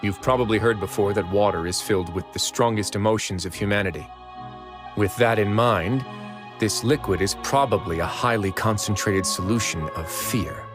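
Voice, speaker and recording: A man playing a low-voiced, shrewd commanding duke. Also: lightly acted. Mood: measured, thoughtful. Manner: measured, deliberate, deductive. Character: cool, shrewd, commanding duke running a prison fortress. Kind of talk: animated video game voice acting. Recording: game music playing behind.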